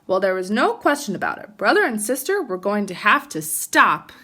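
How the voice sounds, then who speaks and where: A woman teacher reading a children's storybook aloud in an expressive narrating style. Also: medium pitch, moderate pace, slightly rough.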